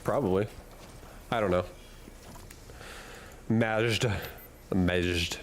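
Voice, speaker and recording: A man's voice: on the low side, steady tone, very slow, a little hiss or hum. A man streaming a game from a hot room at home, chatting casually and rambling through jokes while he reads chat and laughs often.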